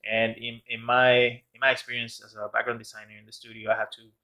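A man's voice: medium pitch, level tone, fairly quick, pushed and bright, rough recording. A man casually narrating his art process as host of a tutorial channel, rambling with many fillers and restarts. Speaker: background artist and channel host.

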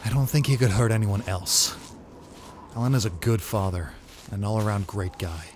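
Man acting a tense low-voiced survivor leader. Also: moderate pace, background noise, lightly acted. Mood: grave, uneasy. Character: adult male survivor leader, protective father, tense and decisive. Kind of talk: comic dub voice acting. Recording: loud music bed under the voice.

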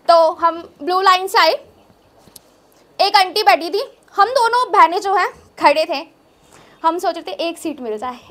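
Very high voice, young woman, stand-up comic, conversational, repeats phrases for emphasis. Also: sharp tone. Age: young adult. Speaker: stand-up comedian. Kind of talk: observational stand-up comedy. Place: small indoor open-mic stage. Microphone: handheld microphone.

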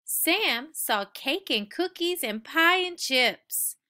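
A woman reading a children's story aloud with expressive, dramatic emphasis for young listeners. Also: high voice, slow pace.

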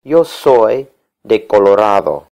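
Paco, a woman doing a low boy's voice. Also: very animated, takes it slowly, dark tone, full character voice. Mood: plain. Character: schoolboy Paco, young and easygoing.

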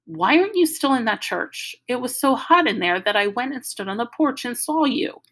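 A bossy, panicky little girl, voiced by a woman reading aloud whines and scolds in a high, shrill voice. She sounds loud and insistent, with a gravelly edge. It comes from a storybook reading. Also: animated, moderate pace. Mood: whiny scolding.

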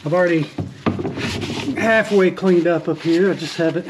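A man with a high voice talks through his repair work on a boat deck in an easygoing, halting way, full of "uh"s and restarts. He is recorded outdoors on a first-person camera mic, with a faint hiss behind him. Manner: relaxed, plainspoken, frequent "uh" fillers and restarts. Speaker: boat refit vlogger. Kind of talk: casual how-to narration while working.

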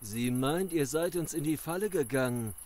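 An actor playing a menacing, gloating ambusher in a German zombie audio drama, sneering and commanding. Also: male voice, fairly high pitch, animated, moderate pace, noisy background, close to an everyday voice. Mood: gloating menace.

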